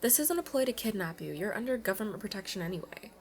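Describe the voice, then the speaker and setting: A woman's voice: medium pitch, expressive, fast, lightly acted. A woman playing a playful, pleading young alien princess at college in an ASMR roleplay, chatting and coaxing the listener directly.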